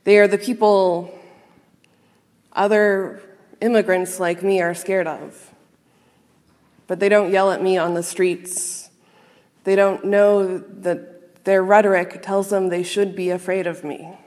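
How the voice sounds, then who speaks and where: A woman minister giving a sermon to a congregation, speaking in a measured, earnest and reflective way with pauses. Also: medium pitch, fairly even intonation, a little mellow. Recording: long reverb of a large hall.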